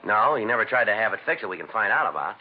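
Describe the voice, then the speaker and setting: An American man playing a terse, dogged police detective in a 1950s radio crime drama, speaking in a deadpan, clipped, businesslike way. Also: fairly low pitch, very fast, husky. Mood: weary, dogged. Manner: deadpan, clipped, matter-of-fact. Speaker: detective and narrator. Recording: dull, noisy vintage radio recording.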